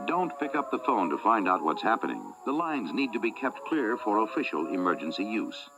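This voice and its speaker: A man's voice: on the low side, very animated, normal speed, music in the background, poor audio. A man reading a scripted civil defense announcement as an emergency broadcaster, in an authoritative, instructional manner.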